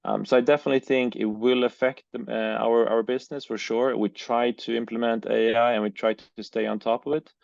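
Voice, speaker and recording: Gravelly man, hesitant. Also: mid-range voice, flat delivery, fairly quick, hardly any pauses, darkish tone, no room sound. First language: Swedish. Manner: hesitant, many uh and um, repeats words. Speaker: guest, business owner. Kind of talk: interview answers. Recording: dry, dull lo-fi sound.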